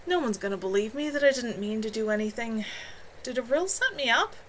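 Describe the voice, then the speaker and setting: A woman's voice: on the high side, lively intonation, a little fast, lightly acted. A woman voicing a distraught, self-pitying young man's inner monologue in a fantasy novel. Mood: despairing. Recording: faintly noisy home livestream recording.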